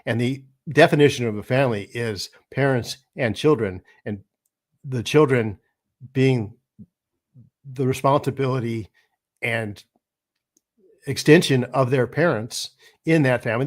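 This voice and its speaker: A man hosts a podcast with a throaty voice from a cold. His pitch sits in the middle range. His commentary is earnest, and he restarts his sentences often. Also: moderate pace. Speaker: host.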